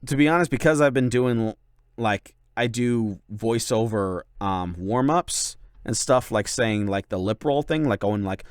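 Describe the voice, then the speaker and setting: A man's voice: fairly low pitch, unhurried, clean tone, no echo, studio quality. A young man hosting a casual Q&A as a voice actor, rambling with lots of likes and ums. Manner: rambling, filler-heavy, many likes and ums. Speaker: channel host and voice actor. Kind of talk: casual Q&A chat.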